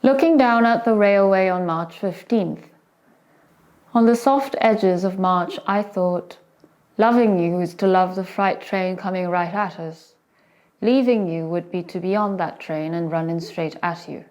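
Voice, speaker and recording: Female poetry reader, lower-pitched voice, very unhurried, measured fragments set apart by pauses. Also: darkish tone. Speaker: poet reciting poetry. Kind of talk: slow poetry recitation.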